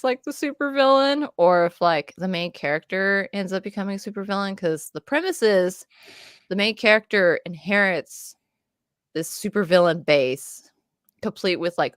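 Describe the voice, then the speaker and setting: A woman's voice: fairly low pitch, no room sound, clean studio sound. A young woman co-hosting a casual chat, rambling and filling her talk with "like". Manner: rambling, filler-heavy, says "like" constantly. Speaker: co-host. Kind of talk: casual chat between friends.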